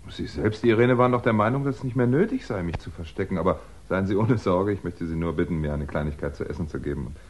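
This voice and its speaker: A man's voice: low-pitched, fast, few pauses, slight background noise, close to an everyday voice. An actor playing a wry, courteous male crime writer who narrates and acts in a 1960s German radio thriller. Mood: apologetic. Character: male crime-writer amateur sleuth, wry, easily flustered. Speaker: first-person narrator and lead character. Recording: dull, band-limited 1960s radio recording.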